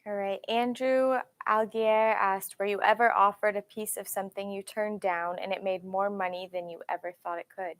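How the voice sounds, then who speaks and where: A woman's voice: mid-range voice, steady tone, moderate pace, hardly any pauses. A woman hosting a casual Q&A, reading out audience questions and prompting the guest.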